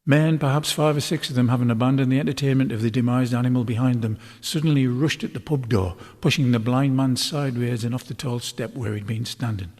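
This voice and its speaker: A man reading a story aloud as scripted narration, in a measured, dramatic literary manner. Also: mid-range voice, steady tone, darkish tone. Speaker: author reading a novel chapter aloud. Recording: long hall-like reverb.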